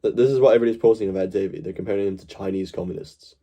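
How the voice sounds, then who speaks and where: A man from Glasgow riffing on a live stream in a mellow, dark-toned voice. He rambles and jokes, with swearing sprinkled through his chat. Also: low-pitched, racing, muffled recording. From Glasgow, Scotland. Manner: rambling, joking, swears freely. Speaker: livestream host. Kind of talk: casual live-stream commentary.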